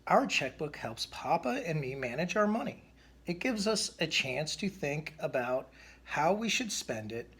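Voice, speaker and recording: A man voicing a calm, sensible mother bear from a storybook, reasoning in a measured way. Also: fairly high pitch, slightly rough, exaggerated voice. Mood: pondering.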